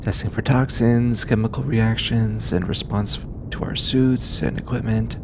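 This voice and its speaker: Male actor, low voice, slow pace, gentle bookish alien scientist, earnest, hesitant, easily flustered, intimate audio drama, rumbling background noise. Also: steady tone. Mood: earnest, explanatory. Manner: hesitant, softly stammering, bookish.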